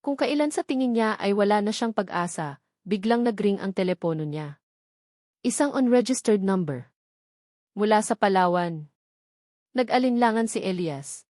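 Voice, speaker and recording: A woman's voice: medium pitch, animated, moderate pace, pauses often, warm tone, no echo, clean studio sound. A woman reading scripted dramatic narration of a romance story as a storyteller.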